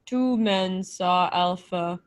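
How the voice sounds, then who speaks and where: A woman playing an emotionless living machine in a radio play, speaking in flat, clipped, blunt phrases. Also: lowish voice. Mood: flat, matter-of-fact. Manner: flat, slow, clipped delivery. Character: emotionless living machine man, blunt, menacing, primitive speech. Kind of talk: old-time radio play acting.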